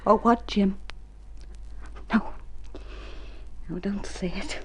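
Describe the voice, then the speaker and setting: An actress playing a neglected, restrained and reproachful wife in a vintage radio drama, measured in delivery. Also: female voice, mid-range voice, steady tone, a little mellow, slight background noise. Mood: troubled, pleading. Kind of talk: 1950s radio drama acting.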